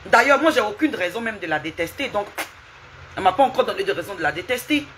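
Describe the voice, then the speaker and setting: A woman's voice: mid-range voice, animated, quick pace, a bit bright, slight background noise. A woman live streaming, lecturing and scolding someone with repeated rhetorical questions and asking viewers for hearts.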